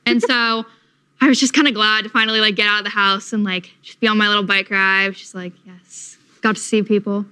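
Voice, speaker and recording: A woman's voice: medium pitch, steady tone, a little fast, sharp tone, clean tone. A teenage girl chatting as a panel guest, bubbly and chatty with lots of fillers. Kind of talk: casual chat.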